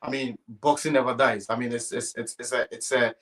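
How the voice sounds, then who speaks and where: A man interviewing conversationally about sport, with a hesitant, halting way of working up to each question. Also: medium pitch, fairly even intonation, fairly bright tone.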